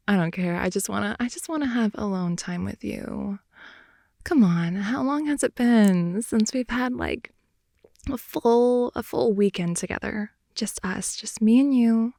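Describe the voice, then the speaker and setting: A young woman playing a playful, clingy girlfriend in an improvised ASMR roleplay, rambling softly and intimately close to the mic. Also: mid-range voice, creaky, no room sound. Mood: affectionate, clingy. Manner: soft, intimate, rambling, giggly, often dropping to whisper. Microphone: close headphone-oriented ASMR mic.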